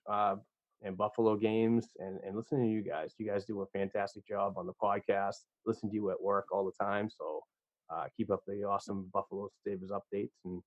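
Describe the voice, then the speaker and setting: A man's voice: on the low side, no room sound, dull audio. A man with a Boston accent, a podcast guest talking in an enthusiastic, rambling way with many fillers. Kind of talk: casual podcast interview.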